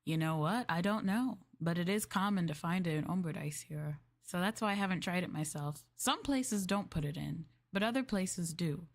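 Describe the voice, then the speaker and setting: Soft-chatting woman in maid roleplay. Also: fairly low pitch, moderate pace, hardly any pauses. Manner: chatty and rambling, often laughing softly; addresses listener as master. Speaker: VTuber streamer. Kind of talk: casual chat in maid roleplay ASMR.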